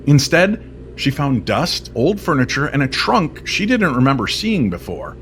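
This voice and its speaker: A man telling a mysterious story in old-time radio style, expressive and measured, with weighty pauses. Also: medium pitch, slight background noise. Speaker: co-narrator of an audio story series. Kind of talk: dramatic scripted story narration in old-time radio manner. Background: music under the voice.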